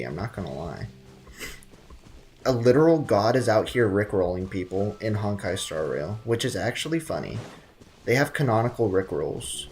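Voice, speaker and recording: A man's voice: on the low side, a little mellow. Jokey young man streaming a game. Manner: jokey, slangy running asides. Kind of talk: casual live gameplay commentary. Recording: game music behind.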